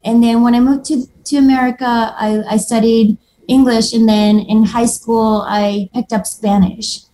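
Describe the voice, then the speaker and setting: A woman's voice: on the high side, little pitch movement, normal speed, warm tone, rough recording. A woman, chatting as an interview guest and laughing often. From Moldova. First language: Moldovan and Russian. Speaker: guest artist. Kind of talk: casual conversation.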